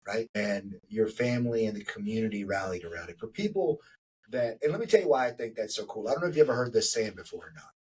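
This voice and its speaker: A man's voice: lowish voice, a little mellow, slightly rough, far from the mic, low-quality recording. A man in his forties hosting a podcast interview, talking in a reflective, rambling way with many fillers. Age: 40s. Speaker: podcast host. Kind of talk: casual interview conversation.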